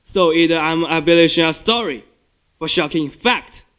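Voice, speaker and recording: A man's voice: a little high, normal speed, a bit bright, smooth, natural voice. A young male student acting a brash, cynical, unrepentant guest in an amateur stage murder mystery. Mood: defiant. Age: teenager.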